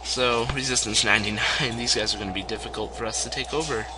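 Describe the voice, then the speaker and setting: A young man with a hoarse voice, casually commenting on a strategy game he is playing at home, rambling and chuckling often. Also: mid-range voice, quick pace, loud music behind the voice. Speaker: let's play gamer. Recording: steady background noise.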